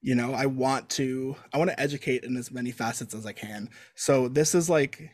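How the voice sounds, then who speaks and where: A young adult man talking casually as a guest author, halting and full of fillers and restarts. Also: mid-range voice, steady tone, dry recording, clean studio sound. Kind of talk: casual interview chat.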